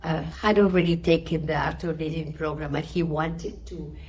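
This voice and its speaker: Female interviewee, middle-aged or older, Spanish accent, slightly low register; an emphatic spiritual teacher sharing her experiences. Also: fairly even intonation, moderate pace, a little mellow. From Spain. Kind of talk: interview answers with personal storytelling.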